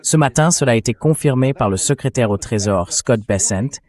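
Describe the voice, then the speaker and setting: A man's voice: mid-range voice, lively intonation, a little fast, darkish tone. A man hosting a political interview, speaking in a dubbed news commentary style. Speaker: interview host.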